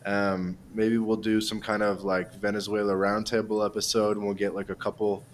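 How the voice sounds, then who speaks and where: A young man hosting a podcast, talking in an easygoing, friendly way with relaxed filler words. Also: fairly low pitch, normal speed, a little hiss or hum. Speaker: podcast host. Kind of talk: casual interview chat.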